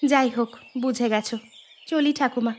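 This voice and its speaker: A woman voicing a caring, hard-up young village mother in a cartoon, speaking in a gentle, practical way. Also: fairly high pitch, fairly even intonation, normal speed, lightly acted. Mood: brisk, friendly. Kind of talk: Bengali moral-story cartoon dubbing.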